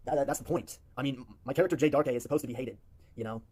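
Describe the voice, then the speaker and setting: A man's voice: mid-range voice, rapid-fire, warm tone, breathy edge. A man with a put-on British accent answering viewer questions in a rambling, filler-heavy way on a casual stream. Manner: rambling, many fillers and restarts. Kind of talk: casual stream talk in character.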